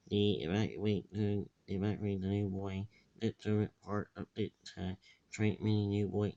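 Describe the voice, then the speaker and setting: A man giving rambling casual commentary in a halting, repetitive way with muddled wording. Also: dark tone. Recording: dull, echoey low-fidelity recording.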